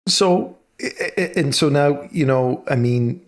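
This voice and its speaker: A man's voice: on the high side, slow pace. A man hosting a podcast interview over a video call, asking long, rambling questions full of restarts. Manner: rambling, many fillers and restarts. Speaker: podcast host.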